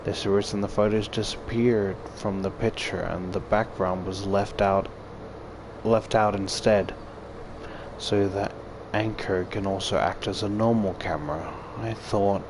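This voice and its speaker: Man, lowish voice, unhurried pace, reading a game story aloud, crude joking asides, noisy recording. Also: steady tone. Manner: crude, profane, exclaiming. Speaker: gaming YouTuber. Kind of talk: reading a visual novel aloud with casual, joking commentary.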